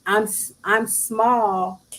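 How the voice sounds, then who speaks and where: A woman who sings, talking casually as an interview guest, soft-spoken with bursts of laughter. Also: fairly even intonation, extremely slow. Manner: soft-spoken, breaks into laughter and high mimicry. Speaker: singer guest.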